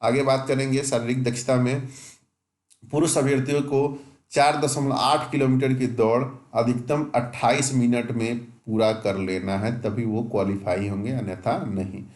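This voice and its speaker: A man's voice: medium pitch, fairly even intonation, normal speed, darkish tone, roomy sound. A man who teaches exam preparation, walking through a job notification point by point and correcting himself as he goes. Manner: methodical, reads out rules point by point, mixes English terms; self-corrects with small restarts.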